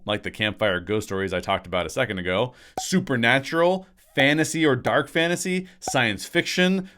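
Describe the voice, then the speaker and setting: A man in his thirties talks straight to camera at a desk microphone in a small home studio. His voice sits at an ordinary middle pitch. He delivers a scripted explainer with lively energy. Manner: energetic, gestures a lot with both hands. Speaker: writer and channel host. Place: small home studio room with framed posters. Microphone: desk microphone on a stand in front of him.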